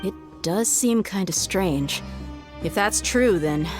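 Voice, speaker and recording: A woman's voice: mid-range voice, lively intonation, slow pace, music in the background. An actress playing a practical, plain-spoken woman in a video game, with a casual, direct manner. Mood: pondering.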